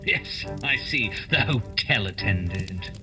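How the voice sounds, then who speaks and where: A man playing a shrewd, self-assured gentleman detective with a precise, theatrical manner in a radio play. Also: on the low side, expressive, takes it slowly, over music. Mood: stern. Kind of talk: holiday radio play acting.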